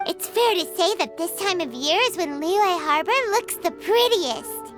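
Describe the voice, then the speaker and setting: A woman voicing a tiny, chirpy, carefree fairy-like companion in a video game, with a squeaky, childish manner. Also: lively intonation, a little slow, exaggerated voice. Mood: breezy, cheerful. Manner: squeaky, childish, refers to self in third person. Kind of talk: video game character acting. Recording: loud music behind the voice.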